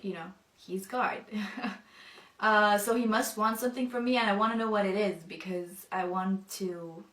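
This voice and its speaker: A young woman, not a native English speaker, telling her personal testimony thoughtfully, with many pauses and fillers. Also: mid-range voice, steady tone, fairly bright tone, reverberant, distant mic. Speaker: convert telling her story.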